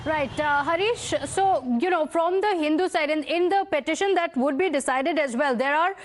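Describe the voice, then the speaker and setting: High-pitched Indian female news anchor. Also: normal speed. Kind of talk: live news anchoring, questioning correspondents.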